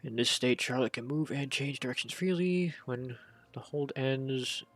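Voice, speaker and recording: A man doing casual game commentary, rambling and thinking out loud as he plays and reads off skill text. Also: medium pitch, a little slow, faint music behind. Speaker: gaming streamer.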